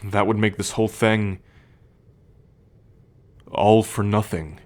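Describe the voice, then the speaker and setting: A young man playing a troubled, protective male werewolf in an ASMR roleplay, speaking in a measured, halting, confiding way. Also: lowish voice, steady tone, darkish tone. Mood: earnest.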